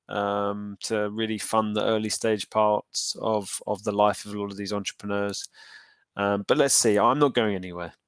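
A man in his thirties answering interview questions in a thoughtful, halting way, full of ums and restarts. His voice sits low and comes through smooth and resonant. The recording is close and dry, with little room sound. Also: dry recording. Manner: thoughtful, hesitant with many fillers and restarts. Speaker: entrepreneur guest.

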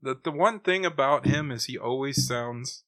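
A man's voice: medium pitch, normal speed, sharp tone, dry recording. A man co-hosting a podcast, reviewing an episode in a casual, joking style. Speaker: podcast co-host.

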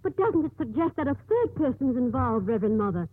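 Determined nun, high husky voice, intense and earnest, old radio drama. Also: female voice, sing-song, fairly quick, no room sound, exaggerated voice. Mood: earnest, defensive. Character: earnest, stubborn, driven nun pleading her case. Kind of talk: 1950s radio drama acting. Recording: thin narrowband old radio recording.

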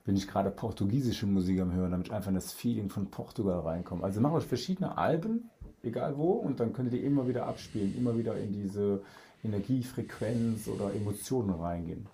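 An upbeat man chats as a podcast guest, laughing often and keeping coming back to the same eager phrases. His voice sits fairly low and sounds warm and dark. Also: expressive, fast. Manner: enthusiastic, laughs often, repeats "auf jeden Fall". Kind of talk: casual chat.

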